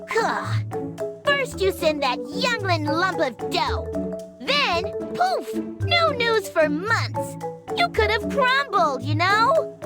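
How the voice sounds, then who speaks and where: A woman voicing a cocky, sharp-tongued young rascal with a brash, mocking drawl in a video game. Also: very high-pitched, big pitch swings, slow pace, over loud music, exaggerated voice. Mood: teasing.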